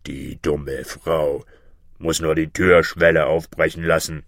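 A man voicing a gruff, boastful, man-eating griffin in a fairy-tale reading, slow, scornful and commanding. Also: very deep voice, little pitch movement, no room sound, studio quality, pitched below the performer's own voice, full character voice.

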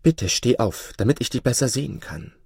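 A man's voice: medium pitch, lively intonation, normal speed, creaky, darkish tone, slightly rough, no room sound, studio quality, pitched above the performer's own voice. A man voicing a wicked sorceress from a fairy tale, dramatic, devoted and imploring. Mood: adoring, pleading. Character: wicked sorceress, pleading and adoring toward her master.

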